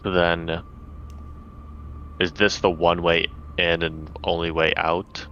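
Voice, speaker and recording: A man's voice: low voice, level tone, slow, pushed and bright. A man playing a tabletop game, chatting casually on a lo-fi microphone. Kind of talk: casual chat. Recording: dull lo-fi mic, background noise.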